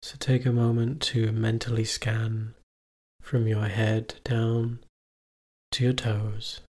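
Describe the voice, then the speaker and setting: Husky, unhurried man guiding meditation. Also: lowish voice. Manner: calm, measured, instructional delivery with long pauses. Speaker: meditation teacher. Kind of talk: guided meditation.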